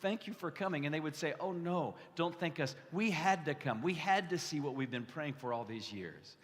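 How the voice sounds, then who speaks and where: A man preaching a testimonial sermon to a gathering, speaking earnestly and conversationally, with halting restarts and repeated phrases. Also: fairly high pitch. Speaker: guest preacher. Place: large indoor hall, addressing a gathering. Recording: echoey hall with long reverb.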